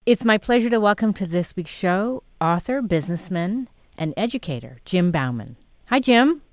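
A woman's voice: on the low side, expressive, moderate pace. A woman who grew up in New York City, hosting a radio interview and asking questions in a chatty way. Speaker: radio host.

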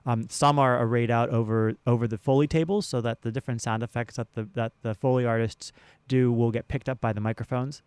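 A man's voice: mid-range voice, darkish tone, smooth, no room sound. Male theater director as interview guest; clean, resonant voice, conversational with repeated starts, very dry close-mic sound. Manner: halting, repeats words while explaining. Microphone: close studio broadcast mic.

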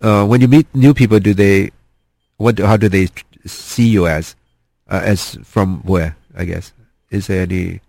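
A man hosting an interview, asking halting questions and often backing the guest with "yeah". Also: low voice, steady tone, vocal fry, a little mellow, dry recording, studio quality. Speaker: interview host. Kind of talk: casual interview conversation.

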